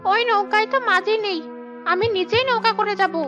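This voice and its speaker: A high-pitched young village wife, played by a woman, earnest and pleading. It is cartoon drama acting with a desperate edge, set over clear music. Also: fairly even intonation, a little fast. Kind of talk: animated story acting. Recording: music behind the voice.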